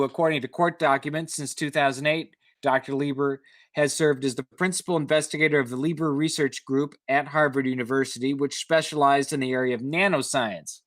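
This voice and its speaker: Man reading news, slightly high voice, dry sound. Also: no room sound. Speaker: news reader and commentator. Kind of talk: reading news stories aloud with informal commentary.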